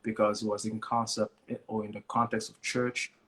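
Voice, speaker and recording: A man talking as a guest in a live conversation, relaxed and hesitant, often repeating a word before he gets going. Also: fairly low pitch, moderate pace. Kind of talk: casual heartfelt conversation. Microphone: live stream device mic.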